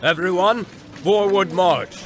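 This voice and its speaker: An actor playing a proud, domineering military commander in a fantasy audiobook, curt and imperious. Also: male voice, high voice, slow pace, over music. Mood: shouting orders. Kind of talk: dramatised fantasy audiobook acting.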